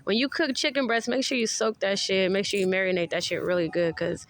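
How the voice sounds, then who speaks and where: A woman's voice: mid-range voice, fairly even intonation, fairly quick. A young woman chatting casually as a podcast guest, laid-back and laughing often, swearing freely. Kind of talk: casual chat.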